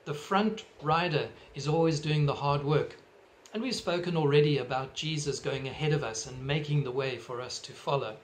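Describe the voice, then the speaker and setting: A middle-aged man with a South African accent preaching a sermon from his living room into a webcam, earnest and measured, gesturing as he talks. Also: a little high, slight background noise, rough recording. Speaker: pastor. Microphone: webcam at desk distance.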